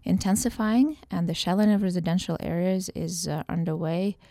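A woman from Ukraine, a political science professor, answering radio interview questions thoughtfully with frequent pauses and fillers. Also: lowish voice, mellow, dry recording, clean studio sound. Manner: thoughtful, with many hesitations and fillers.

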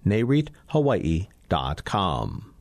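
A man's voice: low-pitched, wildly swooping pitch, takes it slowly, noisy background. A man reading a scripted sponsorship announcement for radio.

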